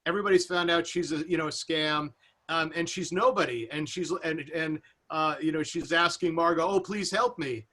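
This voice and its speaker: A man with a fairly high, bright and forceful voice talks as a panelist. He feels his way through his points, stopping and repeating words as he goes. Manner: halting, searching delivery with repeated words. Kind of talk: unscripted panel discussion.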